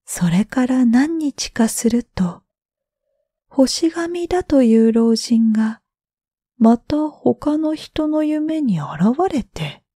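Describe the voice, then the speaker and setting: A woman narrating bedtime stories for sleep in a gentle, drawn-out way with breathy phrase endings. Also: high voice, lively intonation, no room sound, studio quality. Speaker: storyteller, freelance announcer.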